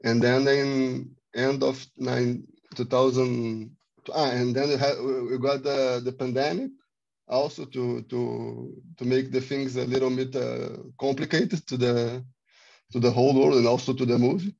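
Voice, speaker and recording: Gravelly-voiced Brazilian-born man, halting. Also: mid-range voice, steady tone, darkish tone, muffled recording. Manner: halting, many restarts, non-native phrasing. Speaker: filmmaker, cameraman. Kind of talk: panel Q&A answer. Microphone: video call.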